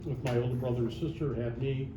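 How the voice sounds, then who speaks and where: A man introducing himself at a workshop, matter-of-fact and halting, with many pauses. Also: medium pitch, steady tone. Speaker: workshop participant, lawyer. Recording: echoey room, background noise.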